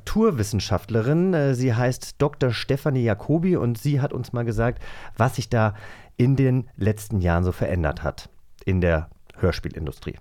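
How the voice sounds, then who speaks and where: A man's voice: mid-range voice, animated, moderate pace, dark tone. A man with a mid-range voice co-hosts a magazine show. He talks casually and chattily, with a self-deprecating streak. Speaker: podcast co-host.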